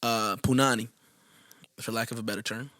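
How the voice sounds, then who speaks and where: A man's voice: mid-range voice, fairly even intonation, normal speed, sharp tone, dry recording. A young man co-hosting a podcast in casual, emphatic chat, full of profanity. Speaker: podcast co-host.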